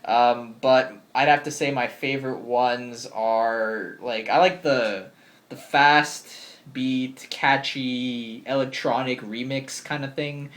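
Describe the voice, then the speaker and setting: Chill young guy in his twenties, talks casual with lots of likes and ums, mid-pitched voice. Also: steady tone, takes it slowly, a bit bright. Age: 20s. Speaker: channel host answering viewer questions. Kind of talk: casual Q&A vlog. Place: bedroom with game shelves.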